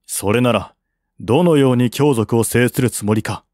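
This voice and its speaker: A stern prince and commander played by a male narrator, curt and imperious. His mid-pitched voice is recorded dry, in the manner of a historical audiobook. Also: normal speed, a little mellow, dry recording, natural voice. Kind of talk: audiobook character reading.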